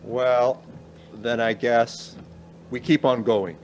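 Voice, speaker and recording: An actor playing a cautious, pedantic older professor in a naturalistic radio play, formal, dry and reserved in manner. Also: male voice, mid-range voice, steady tone, slow pace, a little hiss or hum, low-quality recording. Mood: dry, measured.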